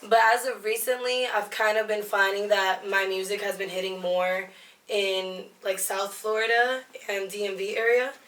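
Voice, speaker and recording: A young woman from Virginia who grew up speaking both Spanish and English. She is a hip hop and R&B artist talking casually at a lounge venue, in a relaxed and upbeat way with plenty of slang. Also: mid-range voice, moderate pace, distant mic. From Alexandria, Virginia. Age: young adult. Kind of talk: casual interview. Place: busy lounge venue before a show. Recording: roomy venue sound, from off-mic.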